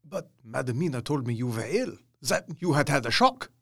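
An actor playing a kindly, earnest old professor, speaking formally and emphatically in an audio drama. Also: male voice, medium pitch, big pitch swings, moderate pace, creaky, a bit bright, exaggerated voice. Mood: concerned, curious. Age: older. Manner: emphatic, quaintly formal phrasing.

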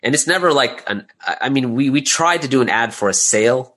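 A man's voice: medium pitch, expressive, fairly quick, a bit bright. A man answering interview questions casually as a business owner, thinking aloud and repeating phrases as he explains. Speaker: interview guest, audio drama creator and business owner.

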